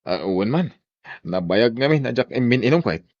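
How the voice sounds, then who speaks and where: A man's voice: mid-range voice, expressive, brisk, no room sound, lo-fi sound, close to an everyday voice. Guy playing boozy ilocano husband, belligerent or sheepish, radio drama style, voice jumps all over. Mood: tipsy, agreeable. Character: hard-drinking husband, belligerent drunk, sheepish when sober.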